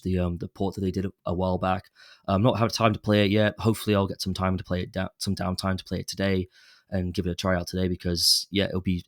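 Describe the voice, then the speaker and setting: A man's voice: low-pitched, no echo, studio quality. A young man recovering from a cold, chatting casually and rambling with lots of fillers as a podcast host. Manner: rambling, many fillers and restarts. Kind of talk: casual solo chat.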